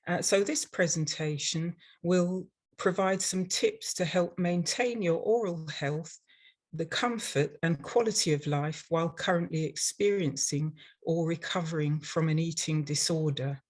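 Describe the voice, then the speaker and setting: An older woman from London with an English accent, giving a prepared webinar presentation in a measured, instructional way as a dental professional. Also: fairly low pitch, a little mellow. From London, England.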